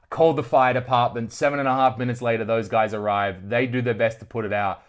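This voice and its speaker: An Australian man hosts a casual story-time video. He speaks at a middling pitch in an animated, conversational manner, swearing freely as he goes. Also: quick pace. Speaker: retro tech video host.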